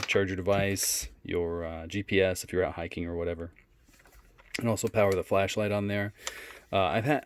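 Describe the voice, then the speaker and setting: A man giving a casual, unscripted product demonstration in a low-key, halting way. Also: a little hiss or hum. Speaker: product reviewer.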